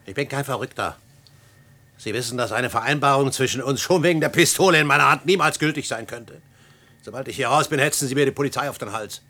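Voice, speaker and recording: A man's voice: medium pitch, fast talker, bright, forceful tone. An actor playing a desperate, menacing male academic in a German radio play, speaking formally and insistently. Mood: bitter, resolute. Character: desperate, menacing male university academic holding a gunpoint standoff.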